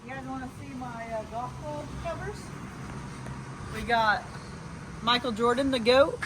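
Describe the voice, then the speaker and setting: A woman with a high voice chats casually on a family vlog. Clear background noise runs through the handheld recording. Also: a little slow. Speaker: family farm vlogger.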